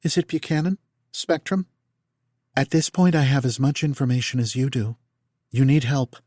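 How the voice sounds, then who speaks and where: A man voicing a calm, clinical female AI that speaks in precise, measured sentences. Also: medium pitch, no room sound, clean studio sound, pitched above the performer's own voice, close to an everyday voice.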